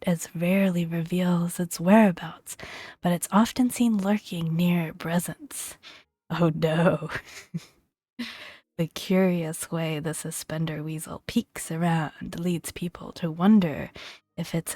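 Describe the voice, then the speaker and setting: A woman speaking softly and breathily in a gentle, playful ASMR style, often dropping into a whisper as she reads and comments. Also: on the low side, no echo, clean studio sound. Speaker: gaming video host. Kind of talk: soft-spoken ASMR, reading creature entries with casual commentary.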